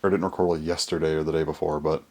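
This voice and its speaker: A young man with a hoarse, worn-out voice, chatting casually in a rambling way to his channel's viewers. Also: a bass voice, little pitch movement, racing, a little mellow. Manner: rambling, full of fillers and restarts. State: hoarse, voice mostly gone. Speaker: YouTuber. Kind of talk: casual vlog chat.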